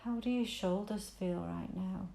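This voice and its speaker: A woman leading a guided meditation in a gentle, soothing, invitational manner with unhurried pauses. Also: mid-range voice, vocal fry, reverberant. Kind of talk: guided body scan meditation.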